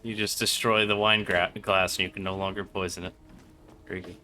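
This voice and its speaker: A man's voice: low-pitched, little pitch movement, fairly quick, sharp tone. A man streaming a video game with casual, slangy, irreverent commentary. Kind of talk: casual live game commentary, crude joking. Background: game music and sounds.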